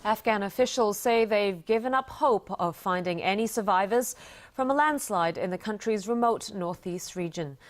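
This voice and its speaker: A woman's voice: mid-range voice, moderate pace. Female news anchor with mid-range voice. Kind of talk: scripted news reading.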